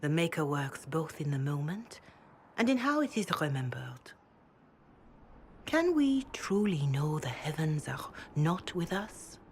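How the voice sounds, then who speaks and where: An actress playing a wise, devout older woman counselor in a game, speaking in a hushed, solemn, measured way. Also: deep voice, big pitch swings, slight background noise, full character voice. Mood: solemn, reassuring. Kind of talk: dramatic game dialogue.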